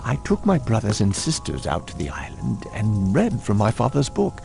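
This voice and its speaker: An actor playing an ailing, haunted lord of a cursed manor, telling his tale gravely and ominously in a dramatic game cutscene. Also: lowish voice, huge pitch swings, moderate pace, over music, full character voice. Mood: ominous recollection.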